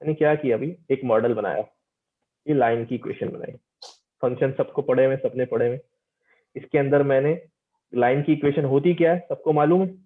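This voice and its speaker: A man's voice: medium pitch, a little fast. Male teacher lecturing over tinny call audio. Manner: repetitive, often checks that students understand. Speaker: teacher giving an online lecture. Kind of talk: informal interactive technical lecture.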